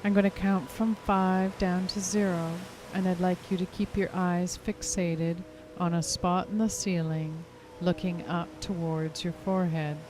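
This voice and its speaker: A woman leading a hypnotic guided meditation in a soothing, unhurried, gently instructing way. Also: fairly low pitch, flat delivery, slight background noise, low-quality recording. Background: music clearly behind the voice.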